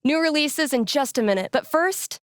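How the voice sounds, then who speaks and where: A woman's voice: sits high, lively intonation, moderate pace, dry recording, clean studio sound. A woman playing a laid-back, playful young radio DJ in a video game, with wry, slangy, mock-dramatic call-in patter. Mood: upbeat. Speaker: call-in radio show host.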